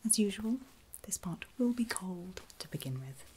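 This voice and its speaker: A woman whispering gently in an ASMR medical roleplay, giving reassuring step-by-step instructions as an examiner, in a soft breathy voice. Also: medium pitch, animated, moderate pace, a little hiss or hum. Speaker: ASMR performer playing a pre-op medical examiner.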